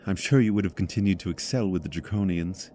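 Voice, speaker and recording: An actor playing a solemn, courteous trapped spirit in a fantasy audio drama, speaking in a measured, formal way. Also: male voice, deep voice, warm tone, a little hiss or hum, lightly acted. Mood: somber.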